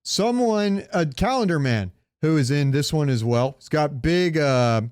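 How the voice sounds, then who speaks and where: A man's voice: fairly high pitch, expressive, unhurried, a little mellow, clean tone, no echo, clean studio sound. A man co-hosting a podcast, chatting casually with informal, opinionated, sweary talk. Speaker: podcast co-host. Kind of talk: casual chat about comic book movies.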